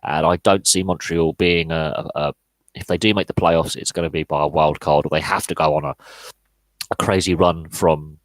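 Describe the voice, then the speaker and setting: A man's voice: very deep voice, fairly even intonation, moderate pace, no echo, rough recording. A man in his thirties hosting a live podcast Q&A, talking casually with many fillers and restarts. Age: 30s. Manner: rambling, frequent fillers and restarts. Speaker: podcast host.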